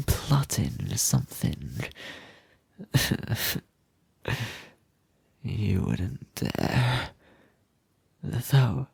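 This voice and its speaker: A man voicing an insane, doting, sadistic kidnapper in an ASMR roleplay, husky and often whispering, with halting, sweetly menacing delivery. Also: mid-range voice, darkish tone, no room sound, heavily acted. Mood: soothing, threatening.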